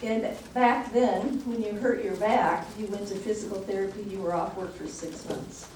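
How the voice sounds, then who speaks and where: Soft-voiced woman in her sixties, echoey hall. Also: medium pitch, steady tone, moderate pace. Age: 60s. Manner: conversational, personal anecdotes with filler "um". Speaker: nurse practitioner speaking as guest presenter. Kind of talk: casual church talk, storytelling. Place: church hall. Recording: echoey hall from far off, background noise.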